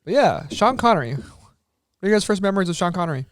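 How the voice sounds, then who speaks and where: A man's voice: high voice, big pitch swings, fast, no echo, studio quality. A man hosting a podcast, chatting casually with an upbeat, enthusiastic manner as he steers the talk. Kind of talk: casual chat.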